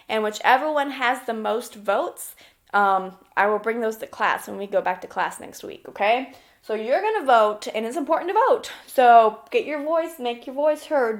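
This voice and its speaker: A woman elementary school teacher reading aloud to young children and explaining things simply, often checking in as she gives instructions. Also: on the high side. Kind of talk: children's read-aloud lesson with questions.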